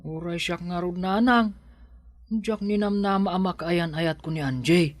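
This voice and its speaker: A man's voice: sits high, a little slow, no room sound, clean studio sound. An actor playing a humble, earnest young working man in love, speaking hesitantly and respectfully with an Ilocano accent in a radio drama. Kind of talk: Filipino radio drama acting.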